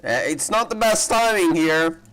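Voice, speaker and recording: A man's voice: high voice, normal speed, a bit bright, slight background noise, poor audio. A man giving casual reaction commentary as a gaming streamer, musing and questioning aloud.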